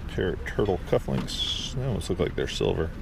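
A man talking casually to himself and to sellers as he browses an outdoor flea market, muttering low-key remarks. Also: very animated, moderate pace, vocal fry. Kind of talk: casual vlog narration while shopping. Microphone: body-mounted camera. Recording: outdoor noise behind.

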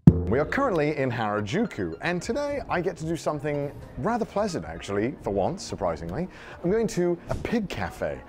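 A man's voice: a little high, wildly swooping pitch, fairly quick, a little mellow, soft background music. A young man hosting a vlog with dry, understated humour and casual banter. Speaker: vlog host. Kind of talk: presenting to camera, casual banter.

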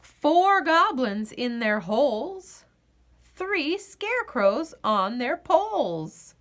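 Very slow, lively woman storyteller. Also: high-pitched. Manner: deliberate, expressive sing-song rhyming delivery. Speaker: storyteller reading a picture book aloud. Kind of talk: children's story read-aloud.

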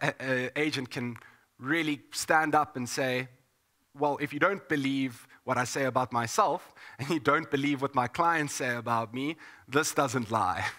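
A man in his thirties hosting a panel chat, upbeat and energetic, with plenty of fillers and restarts. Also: medium pitch. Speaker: show host.

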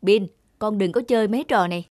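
A woman voicing an anxious, doting, overprotective mother in an animated moral tale, fussing and scolding. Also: medium pitch, fast talker, noisy background, natural voice. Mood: scolding, worried. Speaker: cartoon voice actor. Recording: loud background music. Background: music.

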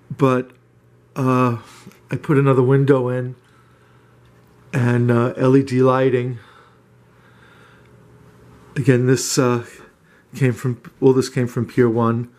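A man walks through his small wood-paneled cottage with a handheld camera, narrating a casual house tour. His voice stays flat and monotone, with little rise or fall. He talks haltingly, with frequent fillers and restarts. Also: medium pitch. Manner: hesitant, many "uh" fillers and restarts. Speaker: homeowner giving a house tour.

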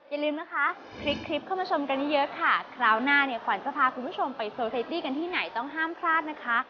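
A young woman presents a TV segment in a high, bright voice, polite and chirpy, speaking from inside a supermarket. A clear hiss of background noise sits under her words, with store chatter around her. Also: fast talker, muffled recording. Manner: polite, chirpy, frequent soft polite particles. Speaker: TV show host.

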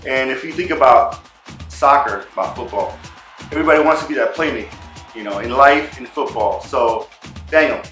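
Man, interview host, bright forceful voice, medium pitch, casual questions, echoey room, faint music. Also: moderate pace. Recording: roomy, echoing room sound.

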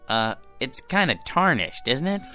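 An actor playing a meek, hesitant, humble office clerk in a radio drama. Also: male voice, medium pitch, sing-song, measured pace, bright, forceful tone, soft background music. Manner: hesitant, unassuming.

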